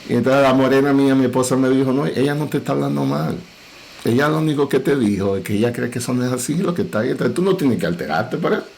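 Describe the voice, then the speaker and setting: A middle-aged man with a Dominican Cibao accent, a pastor speaking earnestly and in a measured way on a parenting panel. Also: medium pitch, gravelly, a little mellow, slight background noise. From Dominican Republic.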